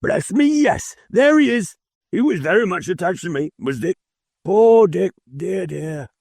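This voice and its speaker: A man voicing an old miserly man, exclaiming excitedly, in an audiobook. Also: low voice, a little slow, dry recording, studio quality. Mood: fond. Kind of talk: audiobook character voice.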